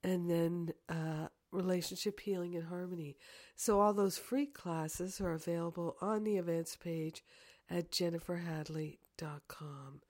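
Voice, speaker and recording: A woman with a hoarse, croaky voice from a cold, leading a slow, earnest guided prayer full of affirmations. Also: fairly low pitch, steady tone, no echo, studio quality. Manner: soft, slow and earnest, repeating "grateful and thankful". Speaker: spiritual teacher and podcast host.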